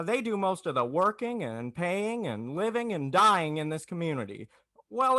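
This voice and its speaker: Man playing a high, stammering young idealist. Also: sharp tone, no echo, exaggerated voice. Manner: halting, stammering, earnest. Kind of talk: 1940s radio acting.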